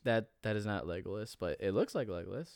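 A man chatting on a casual podcast, halting and stammering as he explains, with giggles between. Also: fairly low pitch, animated, vocal fry, a little mellow, clear, resonant tone, dry recording, studio quality. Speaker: podcast co-host. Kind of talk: casual chat among friends.

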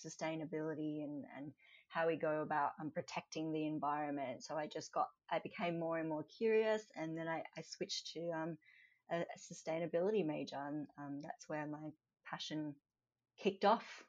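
Woman guest, low voice, halting chat full of ums, dull lo-fi sound. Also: fairly even intonation. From Australia. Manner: hesitant, many ums, laughs often. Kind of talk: casual interview conversation.

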